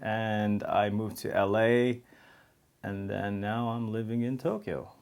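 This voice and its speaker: Gravelly low-voiced New man. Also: steady tone, slow pace, a little mellow. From New York City. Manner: candid, laughs while telling stories. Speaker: actor, interview guest. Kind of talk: casual interview conversation.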